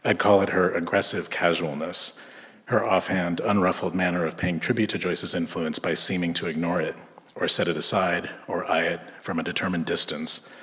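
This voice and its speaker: A man from Southern California reading an academic conference paper in a measured way, with frequent filler hesitations. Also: sits low, fairly even intonation, fairly bright tone. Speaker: conference speaker. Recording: echoey lecture hall.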